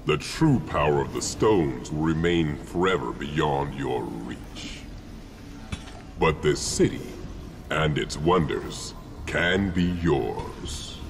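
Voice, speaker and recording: An actor playing a menacing, imperious alien warlord in a game cutscene, speaking gravely and formally with a deep, gravelly voice. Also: male voice, expressive, takes it slowly, a little hiss or hum, full character voice. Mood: scornful, commanding. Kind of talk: video game cutscene acting.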